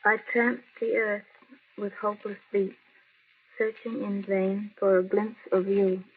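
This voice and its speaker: Husky newspaperwoman, old radio. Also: medium pitch, flat delivery, measured pace. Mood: tender, sorrowful. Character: worldly, tough yet lovelorn big-city newspaperwoman. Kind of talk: 1930s-40s radio drama acting. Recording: muffled, lo-fi old radio recording.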